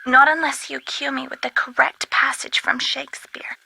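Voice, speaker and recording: A woman's voice: sits high, level tone, normal speed, creaky, pushed and bright, dry recording, whispered, heavily acted, poor audio. A woman voicing a kindly, quaint elderly lady in a video game, genteel, wordy and fluttery. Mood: chatty, wistful. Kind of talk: video game character dialogue.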